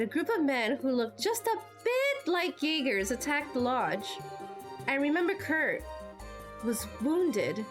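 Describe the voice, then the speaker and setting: Casting: female amateur game voice actor, high and expressive, unhurried, faint music behind. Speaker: streamer voicing game dialogue. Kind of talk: amateur voice acting of game cutscenes.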